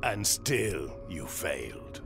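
An actor playing a menacing, grandiose dark sorcerer lord in a video game, commanding and imperious. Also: male voice, low voice, very animated, takes it slowly, creaky, exaggerated voice. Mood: scornful. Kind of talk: video game villain acting. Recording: loud music behind voice.

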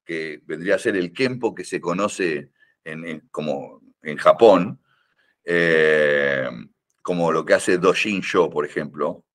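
A man's voice: low voice, fairly bright tone. An older man with a Rioplatense Spanish accent, a karate teacher who rambles with many fillers and restarts, and teases. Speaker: karate teacher, podcast guest. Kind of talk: casual podcast conversation.

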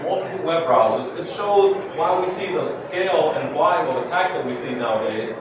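A man giving a conference keynote as a security researcher, posing rhetorical questions and tagging points with "right?". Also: fairly high pitch. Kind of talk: conference presentation to an audience. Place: indoor conference room with seated audience. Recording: very echoey room, distant mic, clearly noisy.

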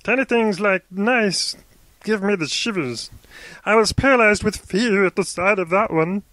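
A man's voice: high voice, a little slow, bright, forceful tone, no room sound, pitched above the performer's own voice. A man voicing a large, lumbering, timid elephant-man in a storybook, with a fretful, exclamatory manner. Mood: shaken, grateful.

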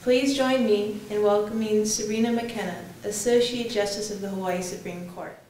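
A young woman reading a prepared introduction at a podium, in a careful, steady manner. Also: medium pitch, moderate pace, very noisy. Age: young adult. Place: college hall, at a podium, indoors. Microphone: podium mic. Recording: echoey hall, mic a bit far off.

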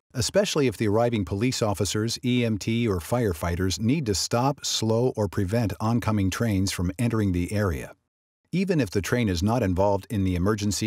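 A man reading scripted narration for a training video in a measured, instructional way. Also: lowish voice, darkish tone, no room sound, studio quality.

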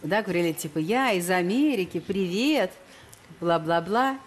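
A woman's voice: fairly low pitch, expressive, normal speed, slight background noise. Ironic, bantering middle-aged woman host. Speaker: TV dating show host. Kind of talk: teasing talk-show hosting. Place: large television studio.

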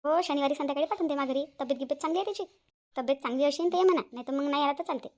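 Woman playing a very fast-talking, high-voiced gossipy elder sister. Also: darkish tone, natural voice. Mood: chatty, practical. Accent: Varhadi Marathi from Vidarbha. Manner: gossipy, repetitive, complaining. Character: chatty, aggrieved married elder sister on the phone. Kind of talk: Marathi cartoon family-drama dialogue.

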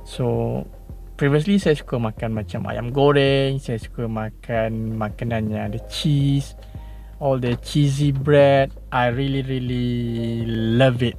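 A Malaysian man in his forties chatting casually to the camera from a parked car, relaxed, slipping Malay tags into his English. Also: mid-range voice, measured pace, vocal fry, faint music behind. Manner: relaxed, chatty, tags sentences with "lah" and "kan". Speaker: vlogger.

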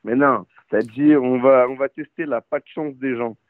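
A tired man talks in a slow drawl at a medium pitch. His voice comes through dry, tinny call audio with no room sound. He sounds worn out. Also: steady tone, dry recording. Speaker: stranger on a video chat.

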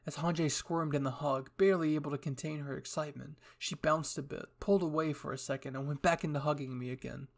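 A man's voice: a little high, fairly even intonation, fast talker, vocal fry, few pauses. A young man reading scripted material as the narrator and host of a story channel.

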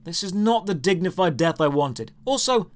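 A man's voice: sits high, expressive, moderate pace. A man narrating a scripted video game review in a sarcastic, theatrically exasperated manner.

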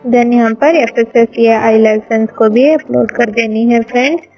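A woman's voice: a little high, fairly even intonation, moderate pace, a little mellow, faint music behind, poor audio. Woman narrating an app walkthrough. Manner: step-by-step instructional, addressing viewers as friends. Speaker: app tutorial presenter. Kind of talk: screen-recorded app walkthrough. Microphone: voice-over over screen recording.

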